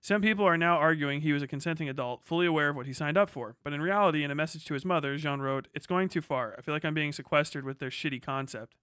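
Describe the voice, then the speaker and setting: Streamer guy doing reaction commentary, high sharp voice, sweary and blunt, really dry sound. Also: fast talker, few pauses, smooth, dry recording. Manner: blunt, profane, outspoken. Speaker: streamer reacting to a news video. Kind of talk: live reaction commentary with read-out passages and rants.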